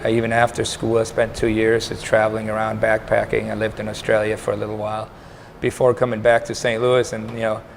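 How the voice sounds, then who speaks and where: Brief: Danish-born man in his thirties, low and gravelly voice, even and measured, faint hiss behind. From Denmark. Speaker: startup incubator co-founder. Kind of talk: interview answers to camera. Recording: faint background noise.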